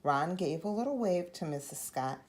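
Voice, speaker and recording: A woman with a fairly low voice narrates a picture book. Her delivery is expressive and very lively in pitch, as a storyteller who plays out each part. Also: moderate pace. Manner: animated, acts out the dialogue. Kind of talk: expressive read-aloud narration.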